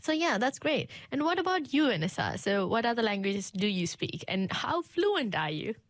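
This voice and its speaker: A woman's voice: fairly high pitch, sing-song, normal speed, no echo, clean studio sound. A woman hosting a casual conversation, who immigrated to New Zealand and talks enthusiastically with lots of "like" and "I mean". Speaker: host of the open day.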